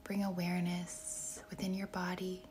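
A woman leading a guided meditation in a soft, breathy, whispery voice, speaking gently and deliberately with long pauses between short phrases. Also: on the low side, monotone. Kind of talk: guided meditation, slow and soothing narration.

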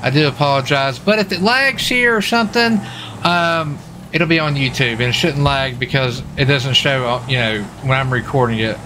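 A man in casual, halting game role-play chat, playing a US marshal as he streams. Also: mid-range voice. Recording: steady background noise, game vehicle sounds. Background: game vehicle and ambient sounds.

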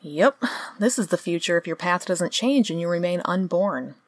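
A woman's voice: lowish voice, animated, moderate pace, no echo. A woman playing a weary, sardonic supernatural guide with a dry, offhand delivery in a comedic radio play.

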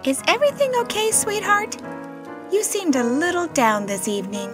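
A sweet pony mum, acted by a woman for a cartoon dub. Her voice is very high and animated, gently fussing, over faint music. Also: normal speed. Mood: concerned. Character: sweet, doting pony mother. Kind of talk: cartoon comic dub acting.

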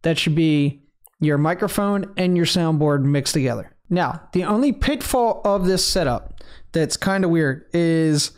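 Chill guy talking through his stream audio setup, slightly high voice, lots of uhs and ums. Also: unhurried, smooth. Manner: relaxed, rambling explanation with fillers. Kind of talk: casual screen-recorded tutorial.

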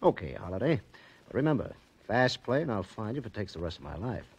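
An actor playing a gruff, suspicious casino boss in 1940s radio drama, husky and curt with slangy tough talk. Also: male voice, lowish voice, big pitch swings, fast talker, full character voice. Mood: curt, commanding. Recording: muffled old radio recording.

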